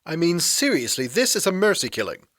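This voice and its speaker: A man's voice: a little high, big pitch swings, fairly quick, a bit bright. A man playing a sardonic, aloof vampire guardian in an audio drama, with a sarcastic, dismissive manner. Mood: dry disdain.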